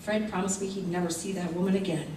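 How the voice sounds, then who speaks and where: A woman's voice: lowish voice, fairly even intonation, fast talker, a little mellow, natural voice. Woman acting fragile wife, echoey stage. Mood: trusting, wistful. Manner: earnest, melodramatic. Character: fragile, trusting injured wife turning distraught and determined. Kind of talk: old-time radio drama acting on stage. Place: theater stage before a live audience. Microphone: stage mics, recorded by far camera. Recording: echoey stage hall, far mic, faint noise.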